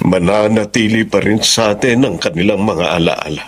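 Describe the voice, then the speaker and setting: An actor playing an older Filipino widowed father in a radio drama, grieving and slowly coming to acceptance. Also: on the low side, a little hiss or hum, close to an everyday voice. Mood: sorrowful acceptance. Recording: music bed under the voice.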